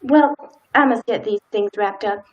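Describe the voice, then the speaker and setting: A woman's voice: a little high, normal speed, dull audio, lightly acted. A woman in a radio play acting a timid, dutiful, law-abiding small-town wife with hesitant, folksy old-fashioned speech. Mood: nervous, hesitant.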